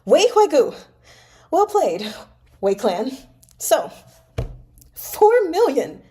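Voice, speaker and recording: Woman acting out a seething, cornered clan man, pitched up. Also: sing-song, extremely slow, warm tone, full character voice. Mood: seething, incredulous. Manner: emphatic, exasperated outbursts. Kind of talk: dramatic audiobook-like novel read-aloud.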